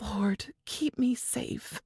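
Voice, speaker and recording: A woman's voice: mid-range voice, drawn-out words, darkish tone, husky, no room sound, in a whisper, studio quality, voice pushed up, full character voice. Woman acting a frightened, defiant young mountain woman. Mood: hushed pleading.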